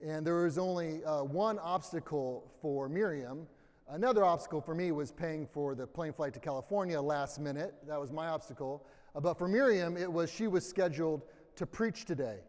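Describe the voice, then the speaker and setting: American man preaching in a boomy, echoing hall. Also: moderate pace, darkish tone. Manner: informal, self-deprecating humor. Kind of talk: conversational sermon with personal anecdotes. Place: large indoor church room, amplified.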